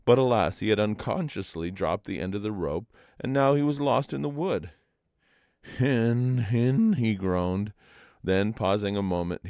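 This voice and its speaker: A man's voice: low-pitched, darkish tone, no echo, studio quality. A man narrating a traditional legend aloud as a storyteller, in a live reading. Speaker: storyteller and reader.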